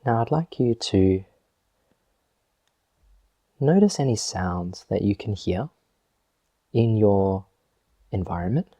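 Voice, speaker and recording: A man with a deep, dark-toned voice guides a meditation. He speaks very slowly and gently, leaving long pauses between short phrases. His delivery is measured, like a teacher leading a practice. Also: animated, no room sound.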